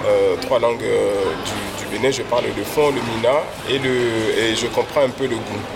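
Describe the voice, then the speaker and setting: A man's voice: on the low side, steady tone, creaky. Hesitant man on a noisy street. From Benin. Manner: hesitant, many filler pauses. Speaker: passerby interviewee. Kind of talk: street interview answers. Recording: loud street and traffic noise.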